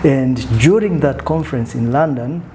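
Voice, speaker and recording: Soft-spoken man, mellow voice. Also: medium pitch, moderate pace, a little hiss or hum. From Tanzania. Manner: soft-spoken, reflective, with frequent fillers. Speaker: guest, country manager. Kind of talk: conversational interview.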